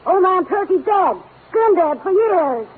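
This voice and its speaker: A nosy, folksy woman in an old radio drama. Her extremely high-pitched voice rambles bluntly and colloquially. It comes through a thin, tinny vintage recording. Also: lively intonation, unhurried. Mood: matter-of-fact. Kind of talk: old-time radio comedy-drama acting.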